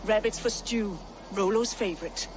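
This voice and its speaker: A woman's voice: medium pitch, moderate pace, noisy background, natural voice. A woman playing a proud, scornful Viking shield-maiden in a video game, defiant and disdainful. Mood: defensive. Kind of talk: dramatic video game dialogue.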